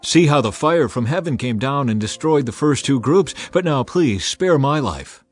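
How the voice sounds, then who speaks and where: A man voicing a loud army captain. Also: medium pitch, animated, moderate pace, pitched above the performer's own voice, lightly acted. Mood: pleading. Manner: loud and commanding. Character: male army captain, commanding then begging. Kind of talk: dramatized scripture reading.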